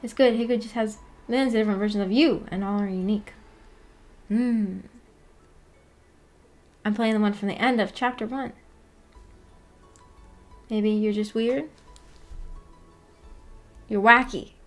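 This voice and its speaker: A woman hosting a casual livestream, chatting with viewers in a giggly, playfully teasing and apologetic way, rambling and halting as she goes. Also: medium pitch, steady tone, faint music behind. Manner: rambling, halting, filler-heavy talk with frequent "like". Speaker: livestream host. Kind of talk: casual livestream chat with viewers about anime.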